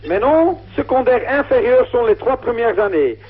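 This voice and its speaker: A man with a high, husky voice works as a recruiting officer. He explains patiently and literally, on a thin, band-limited phone line. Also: expressive, moderate pace. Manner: polite, literal, patiently insistent. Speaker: army recruitment officer, lieutenant. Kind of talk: explaining a form on a phone call.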